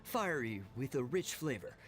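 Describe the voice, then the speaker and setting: An actor playing an obliging but easily affronted shopkeeper, explaining himself expressively in a video game dub. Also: male voice, mid-range voice, sing-song, normal speed, close to an everyday voice. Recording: game music behind the voice.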